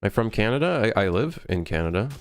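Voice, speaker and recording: A man from Switzerland, a native French speaker, chatting casually on a livestream as he works on game development, thinking aloud in short, halting remarks. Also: lowish voice, sing-song. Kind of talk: casual livestream chat while working.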